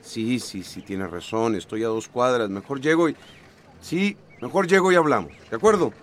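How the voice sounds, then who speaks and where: A man's voice: mid-range voice, lively intonation, moderate pace, a little hiss or hum, lightly acted. An actor playing an anxious husband about to become a father in a radio soap, stammering and repeating his words. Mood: flustered.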